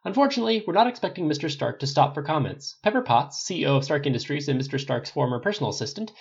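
Man voicing an animated, high-pitched female TV reporter. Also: racing, creaky, husky, lo-fi sound, exaggerated voice. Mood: upbeat, formal. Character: on-the-scene female TV news reporter, brisk and upbeat. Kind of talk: live TV news report.

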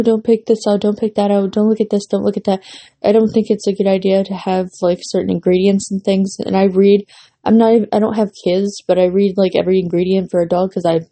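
Husky, losing-voice young woman podcaster. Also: mid-range voice, mellow. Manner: rambling, lots of fillers and restarts. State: losing her voice, runny nose. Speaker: podcast host. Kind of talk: casual solo podcast chat.

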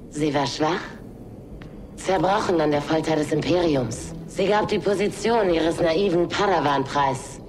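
A woman playing a menacing, bitter female dark-side inquisitor in an audio drama, with a taunting, deliberate delivery. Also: low-pitched, background noise.

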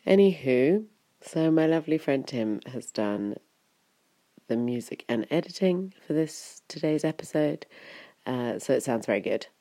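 A woman's voice: deep voice, vocal fry, dark tone, no room sound. A woman, hosting a podcast in a casual, rambling, chatty way. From England, Wiltshire. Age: 30s. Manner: rambling, chatty, full of "kind of" and "like". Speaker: podcast host.

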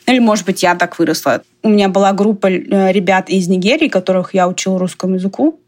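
A woman's voice: mid-range voice, quick pace, a little mellow. A woman from Russia talking casually in a conversation, chatty and digressive, often laughing as she talks.

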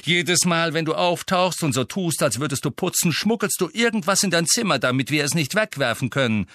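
A man voicing a gruff, irritable master of a house, curt and commanding in his manner. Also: lowish voice, expressive, normal speed, fairly bright tone, no echo. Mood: curt, angry.

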